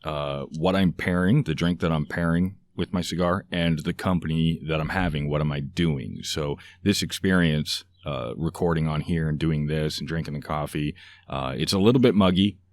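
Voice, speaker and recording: A man's voice: very deep voice, normal speed, no echo. A man co-hosting a casual podcast outdoors, joking and rambling through strange comparisons. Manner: rambling, jokey, fond of odd metaphors. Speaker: podcast co-host. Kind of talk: casual chat. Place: outdoors, light breeze.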